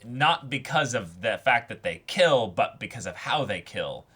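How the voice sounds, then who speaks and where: A man's voice: mid-range voice, expressive, measured pace, pushed and bright, slightly rough. A man co-hosting a casual discussion, reasoning through his points analytically with hedged, roundabout phrasing. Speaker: co-host of anime reaction and discussion show.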